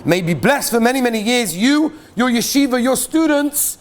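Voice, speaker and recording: Rabbi guy reading out letters to his class, pretty high voice, lively storytelling, faint hiss behind. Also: normal speed. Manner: animated storytelling, questions the audience. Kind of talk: reading listener stories aloud in a class.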